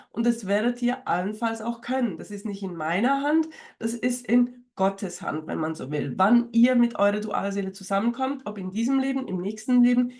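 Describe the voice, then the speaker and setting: A woman with a cold, a little hoarse, talking in a casual, rambling way straight to her viewers as a spiritual coach, with many fillers and restarts. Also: fairly high pitch, darkish tone. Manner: speaks directly to viewers. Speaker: spiritual coach and channel host. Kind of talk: casual talk to camera.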